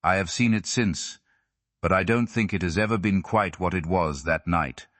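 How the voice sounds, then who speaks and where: A man's voice: low-pitched, normal speed, a bit bright. A man voicing a mild, dreamy young husband who reminisces in a wistful, rambling way in an audiobook.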